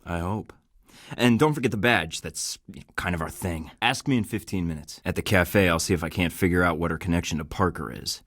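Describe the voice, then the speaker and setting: A deep-voiced, cocky American spy. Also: male voice, a little fast, fairly bright tone, no echo, clean studio sound. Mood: flirty. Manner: wisecracking, flirty and menacing by turns. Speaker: game protagonist. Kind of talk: video game voice acting.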